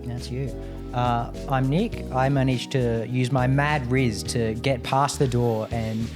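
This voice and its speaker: A man's voice: mid-range voice, flat delivery, takes it slowly. Tongue-in-cheek man chatting over music. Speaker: podcast newcomer. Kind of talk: casual chat.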